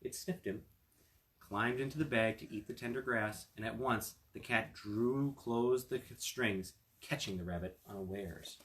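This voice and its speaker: A man's voice: fairly low pitch, a little slow, a bit bright. A man reading a fairy tale aloud as a storyteller, with casual asides to his listeners. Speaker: storyteller, attorney.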